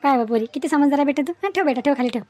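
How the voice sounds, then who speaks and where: A woman as a high, quick dishwasher auntie. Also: heavy background noise, natural voice. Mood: kindly, admiring. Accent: Vidarbha Marathi. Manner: folksy, plainspoken. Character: homely working dishwasher auntie. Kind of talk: animated moral story dialogue.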